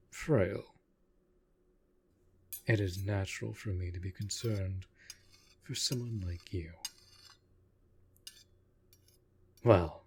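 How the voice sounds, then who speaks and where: A man playing a courtly, protective vampire lord in a roleplay audio drama, speaking softly and formally in measured, deliberate phrases. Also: sits low, husky, exaggerated voice. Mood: dry, protective. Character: courtly, protective male vampire master of a manor.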